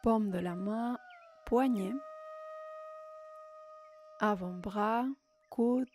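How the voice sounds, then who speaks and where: A woman guiding a meditation as a yoga nidra teacher, in short, unhurried phrases with long pauses, giving soothing instructions. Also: mid-range voice, a little mellow, faint music behind.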